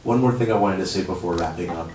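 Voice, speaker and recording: A young man whose first language is Urdu speaks in a low voice at a council podium, stumbling over restarts as he builds to urgent pleas. He sounds a little distant from the mic, and the chamber adds a roomy echo over a faint low rumble. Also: darkish tone. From child of immigrants. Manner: halting, many restarts, rising to pleading urgency. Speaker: public commenter at a council meeting. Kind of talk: impassioned public comment.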